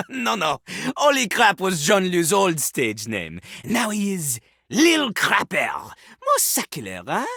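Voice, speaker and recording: A man's voice: sits high, huge pitch swings, takes it slowly, gravelly, bright, forceful tone, dry recording, heavily acted. A man voicing a vain, boastful French rapper toilet in a video game, with a French accent and a pompous, theatrical way of talking about himself in the third person. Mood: cocky, dismissive. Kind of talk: video game character acting, bursts of rap.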